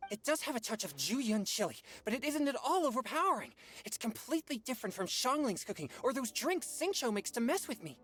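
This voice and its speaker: A man's voice: very high voice, a little fast, few pauses, exaggerated voice. A man playing an earnest, polite teenage boy exorcist in an anime-style game. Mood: giddy rapture. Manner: polite, expressive.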